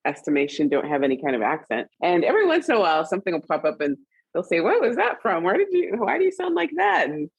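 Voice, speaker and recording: A woman with a standard US accent, a guest in an interview, talking in an animated, chatty way and laughing often. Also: lowish voice, fast, vocal fry, husky, dry recording, highs cut off. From Trinidad and Tobago and Costa Rica, raised internationally. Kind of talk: conversational interview.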